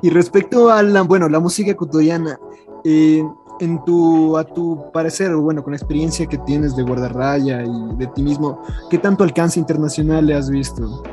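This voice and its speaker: A fairly high-voiced man, hosting a relaxed interview. He nods along with quick affirmations before asking his questions. Music plays clearly behind him. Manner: agreeable, short affirmations. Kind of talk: casual interview talk.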